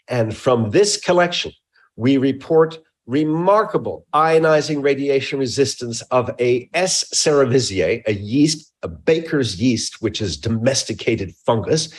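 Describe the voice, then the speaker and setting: A man speaking as a research scientist and interview guest, explaining his work in a measured, technical way with emphatic phrasing. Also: medium pitch.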